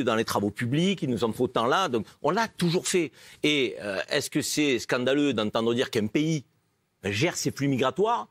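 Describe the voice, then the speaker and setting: A man's voice: mid-range voice, no room sound, clean studio sound. A man speaking as a panelist in a political debate, emphatic and opinionated.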